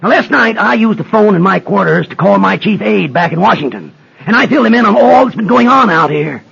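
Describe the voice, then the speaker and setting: A man playing an indignant, defiant American senator in a radio drama, forceful, demanding and blustering, on a dull, noisy recording. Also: sits high, expressive, fairly quick, vocal fry, breathy edge, full character voice. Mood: demanding, confident.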